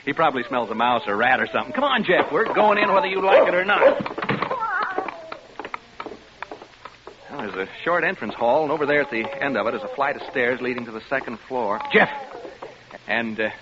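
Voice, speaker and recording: Man acting a jaunty, animated old-time radio reporter. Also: mid-range voice, quick pace, lightly acted. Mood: coaxing, impatient. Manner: breezy, joking, chatty. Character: jaunty, wisecracking male radio announcer on a ghost hunt. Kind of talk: 1950s radio drama acting, live-report narration. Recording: dull, noisy old radio recording.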